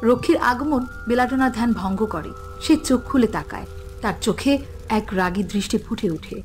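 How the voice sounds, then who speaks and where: A woman's voice: a little high, expressive, normal speed, a little mellow, a little hiss or hum. A woman narrating a scripted fairy tale for a cartoon.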